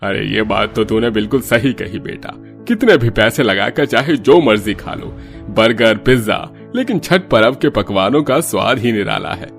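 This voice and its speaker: Man doing a hearty cartoon sasur who loves food, gravelly voice, music behind. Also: lowish voice, expressive, moderate pace. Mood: jovial. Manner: hearty, relishing. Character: jovial, food-loving father-in-law.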